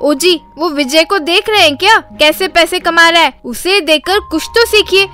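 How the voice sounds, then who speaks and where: A woman's voice: very high-pitched, normal speed, sharp tone, faint music behind, voice pushed up. A woman voicing a nagging, money-minded village wife in a cartoon story, with an exclaiming delivery. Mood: scornful. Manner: scolding, exclaiming.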